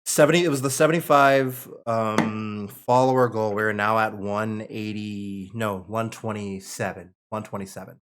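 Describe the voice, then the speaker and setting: A man's voice: lowish voice, steady tone, normal speed, few pauses, a bit bright. A young man hosting casually on a livestream, rambling and correcting himself as he explains and narrates. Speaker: host and aspiring screenwriter reading stage directions.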